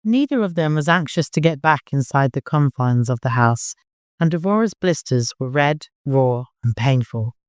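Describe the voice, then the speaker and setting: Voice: mid-range voice, lively intonation, moderate pace, clean tone, no room sound, studio quality. An audiobook narrator reading scripted fiction in a dramatic storytelling style.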